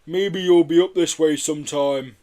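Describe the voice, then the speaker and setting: A man's voice: a little high, fairly even intonation, moderate pace, warm tone, voice pushed up, close to an everyday voice. Guy doing a friendly plucky yeti from a kids book, hearty, raised voice. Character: friendly, defiant yeti in a children's story.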